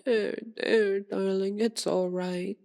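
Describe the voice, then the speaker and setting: A woman's voice: medium pitch, steady tone, slow pace, gravelly, darkish tone, clear, resonant tone. A woman voicing a sadistic, possessive female vampire in an intimate ASMR roleplay, purring and domineering, often dropping toward a whisper. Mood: mock tender, soothing.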